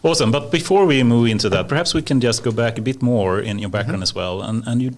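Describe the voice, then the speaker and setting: A man's voice: mid-range voice, fast talker. A man hosting a podcast, asking questions in a hesitant way and often repeating words. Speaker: podcast host. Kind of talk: casual podcast interview.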